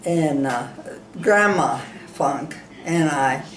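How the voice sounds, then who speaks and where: Woman from South Dakota, unhurried, plain-spoken storyteller, roomy home recording. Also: low-pitched, expressive, noisy background. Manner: slow, plain-spoken, rambling recollection. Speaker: interviewee, interviewer's sister. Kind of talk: reminiscing in an interview. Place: room in a ranch home.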